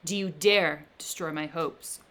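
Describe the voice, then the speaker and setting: A woman reading aloud the lines of a lonely, vengeful male creature, declaiming them loudly and forcefully. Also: sits low, unhurried, pitched below the performer's own voice. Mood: accusing.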